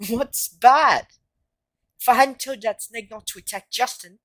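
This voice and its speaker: A woman in an audio drama playing a plucky, impulsive schoolboy wizard of about twelve, blunt and excitable. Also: on the high side, takes it slowly. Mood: indignant.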